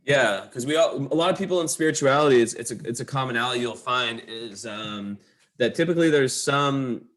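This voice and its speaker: A man's voice: mid-range voice, brisk, fairly bright tone. A young man co-hosting a podcast, who grew up in the Pacific Northwest, chatting in a friendly way with frequent restarts. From Pacific Northwest, United States. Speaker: podcast co-host. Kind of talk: casual podcast chat.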